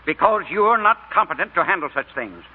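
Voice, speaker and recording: A man's voice: high voice, very animated, a little fast. An actor playing a stern, unyielding middle-aged father with a firm, authoritative manner in a 1940s radio drama. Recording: tinny old radio broadcast.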